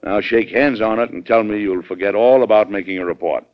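Man acting a commanding, low-voiced American president. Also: brisk, close to an everyday voice. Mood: insistent, fatherly. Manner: blunt, assertive. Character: firm, plain-spoken American male president, shrewd and commanding. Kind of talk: old radio drama acting. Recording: dull, band-limited vintage radio recording.

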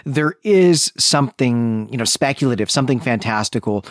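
A man's voice: mid-range voice, lively intonation, normal speed, no echo. A man with a mid-range voice hosts a book discussion podcast. He talks in a discursive, enthusiastic way, working through details as he goes. The sound is close and dry. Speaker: podcast host.

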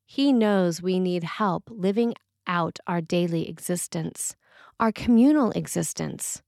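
A woman preacher reading a written sermon in a measured, reflective and earnest way. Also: fairly low pitch, creaky, darkish tone, no room sound, studio quality.

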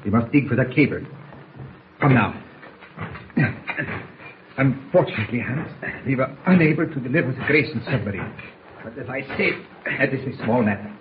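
A male actor plays a coldly determined spy mastermind in a vintage radio serial. He speaks with ominous, deliberate authority at a middling pitch. The recording is dull and very noisy. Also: animated, close to an everyday voice. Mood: brisk, commanding. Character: menacing male enemy spy doctor, coldly determined ringleader. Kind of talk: old-time radio serial acting.

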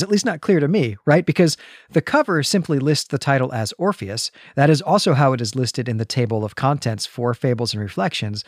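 A man's voice: a little high, dry recording. Male podcast host, middle-aged. Casual, conversational, thinks aloud with asides, dry close recording. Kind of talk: conversational comics discussion.

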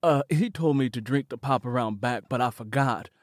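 A man's voice: medium pitch, moderate pace, dry recording, studio quality, natural voice. A man voicing a big, strong man who stammers apologies in an audiobook. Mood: pleading. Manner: stammering, pleading.

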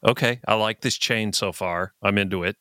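A man's voice: on the low side, moderate pace, sharp tone, no room sound, clean studio sound. A middle-aged man with an American accent, chatting casually as a podcast co-host. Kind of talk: casual chat.

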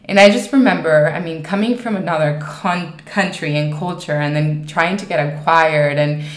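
Echoey, lowish-voiced young woman from Mexico. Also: normal speed. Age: 20s. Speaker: podcast guest. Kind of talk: personal storytelling in an interview. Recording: roomy, echoing sound.

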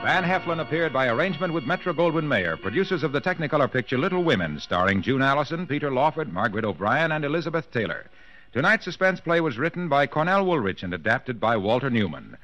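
A man's voice: mid-range voice, very animated, quick pace, a bit bright. An American man working as a 1940s radio announcer, reading scripted copy with a punchy salesman's delivery. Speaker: announcer and sponsor pitchman. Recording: dull, dry 1940s radio recording.

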